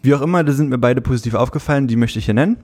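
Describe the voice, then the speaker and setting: A man, co-hosting a casual podcast and talking about music in a relaxed, hesitant way with many fillers. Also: mid-range voice, racing. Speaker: podcast co-host.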